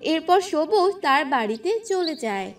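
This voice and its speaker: High-voiced woman storyteller. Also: very animated, moderate pace, far from the mic, faint music behind. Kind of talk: scripted cartoon fairy-tale narration.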